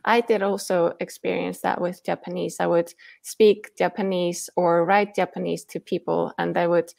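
Low, gravelly woman. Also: little pitch movement, darkish tone. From Iceland. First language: Icelandic. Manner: careful, with frequent "um" pauses. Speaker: author and former Icelandic teacher. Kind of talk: interview answers over video call. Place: home room, indoors. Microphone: earbuds with mic on a video call.

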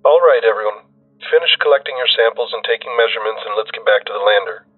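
Man voicing a husky woman commander over radio. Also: fairly low pitch, fast talker, faint music behind. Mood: brisk. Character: stern, brisk woman mission commander on radio. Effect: radio filter. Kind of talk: audio story character dialogue. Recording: narrow echoey radio transmission.

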